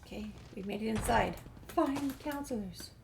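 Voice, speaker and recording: A woman's voice: a little high, moderate pace, vocal fry, darkish tone, slight background noise. A woman giving casual video game commentary, chatty and musing to herself as she plays. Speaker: gamer, channel host. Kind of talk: casual let's play commentary.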